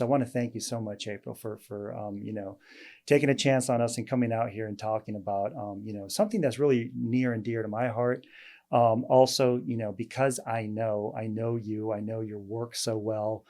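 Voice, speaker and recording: A man with a fairly low, mellow voice hosts a casual interview as a doctor. He talks in a halting way, full of fillers and restarts, but sounds friendly and easy. His tone stays dark and relaxed. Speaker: host, weight loss doctor.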